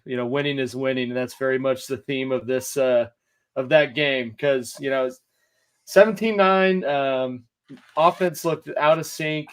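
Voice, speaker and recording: Man, sports writer guest, measured, hedging, "um" and "you know", video call, middle pitch. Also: clear, resonant tone. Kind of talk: casual sports podcast chat.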